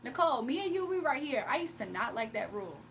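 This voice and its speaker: A woman's voice: high-pitched, very animated, fast, creaky, a little hiss or hum. Chatty young woman live streaming. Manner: chatty, laughs often, reads out chat. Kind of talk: casual live stream chat.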